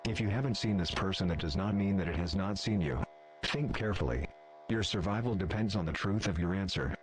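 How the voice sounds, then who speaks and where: A man plays a grave emergency announcer in an analog horror video. His voice is low and ominous, delivering scripted warnings. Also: fairly quick, noisy background, lightly acted.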